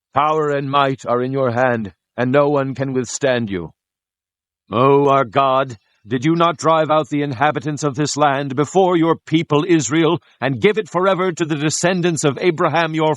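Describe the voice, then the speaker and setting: A man's voice: mid-range voice, normal speed, no room sound, close to an everyday voice. An actor playing a devout, impassioned king in a dramatized audio Bible, speaking in a solemn, declamatory way. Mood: pleading, reverent. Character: devout, impassioned king of Judah praying and exhorting his people.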